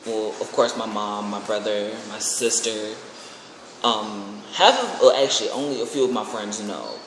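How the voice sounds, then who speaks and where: The recording is very echoey, with faint noise behind it. An American man with a fairly low voice talks informally, as if giving a personal vlog update. He rambles, repeating and restarting phrases as he goes. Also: normal speed, fairly bright tone. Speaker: aspiring singer and video creator. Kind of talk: casual personal vlog announcement.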